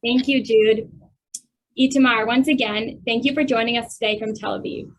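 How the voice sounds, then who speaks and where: A woman's voice: fairly high pitch, fairly even intonation, normal speed, low-quality recording. A woman co-chairing a council and moderating a virtual event, polite and scripted. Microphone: video call.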